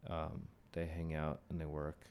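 A young man from California with a very low voice chats in an easy, rambling way. He often restarts his sentences and keeps an upbeat tone. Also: monotone, a little slow, darkish tone, a little hiss or hum. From California, USA. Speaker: conversation guest. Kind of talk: casual chat.

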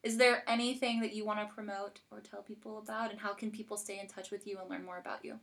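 A woman's voice: mid-range voice, flat delivery, quick pace, a bit bright. A woman hosting a podcast, chatting casually with a guest in very informal speech full of "like". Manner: informal, heavy use of "like". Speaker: podcast host interviewing a guest. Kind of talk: casual chat.

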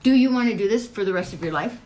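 A woman's voice: mid-range voice, expressive, quick pace, a little mellow. A woman in a heated personal conversation. She speaks firmly and insistently, cutting in with short, pointed commands.

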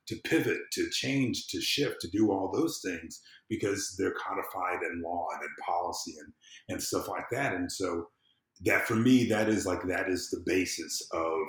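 A man from the southern United States with an American accent, talking casually and emphatically as a podcast guest, with informal, salty phrasing. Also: low-pitched. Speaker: podcast guest, civic engagement consultant. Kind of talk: casual, impassioned conversation. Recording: roomy, echoing sound.

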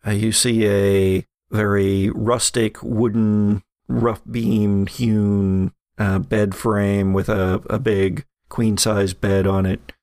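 A man narrating a horror tabletop game as game master, describing scenes in a halting, improvised way. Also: fairly low pitch, little pitch movement, darkish tone, no echo, studio quality.

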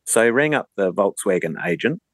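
A man's voice: on the low side, lively intonation, fairly quick, no echo. A man with a New Zealand accent telling anecdotes in a casual radio conversation as a guest.

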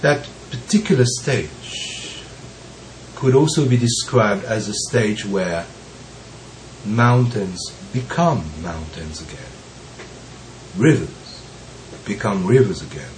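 A man who is recovering from bronchitis, so his voice sounds deeper than usual, gives a slow, reflective teaching talk with frequent pauses and the occasional soft laugh. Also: lively intonation, slight background noise, poor audio. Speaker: Zen teacher.

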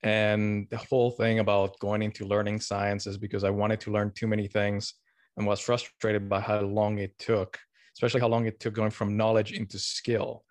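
A man from Colombia answers interview questions in an easy, friendly way, laying out his ideas step by step. His voice sits somewhat low, and the recording is dry and close with no room sound. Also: moderate pace, no room sound. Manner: relaxed, explanatory, friendly. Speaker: podcast guest.

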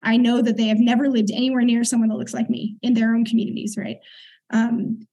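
Husky-voiced woman telling her story. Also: a little high, level tone, fairly quick, mellow. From United States. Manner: earnest, reflective, clears throat often. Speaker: guest. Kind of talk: conversational interview storytelling.

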